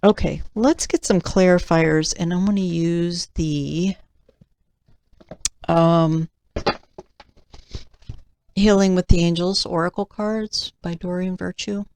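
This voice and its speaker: Slow, low-voiced woman reading tarot, slightly nasal. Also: fairly even intonation, a little mellow. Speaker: tarot reader and channel host. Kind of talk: slow, deliberate tarot reading, part read aloud from cards.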